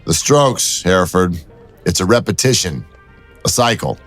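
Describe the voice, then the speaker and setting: Man playing a low-voiced, wry detective. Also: animated, unhurried, a bit bright, faint music behind, exaggerated voice. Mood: intent, wry. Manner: wry, bantering. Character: wry male police detective, cool and teasing. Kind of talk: audio drama acting.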